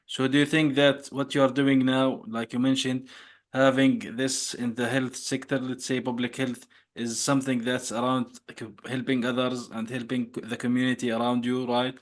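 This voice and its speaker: A man's voice: mid-range voice, flat delivery, moderate pace. A man hosting an interview podcast, reading the guest's introduction with small slips and corrections. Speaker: podcast host.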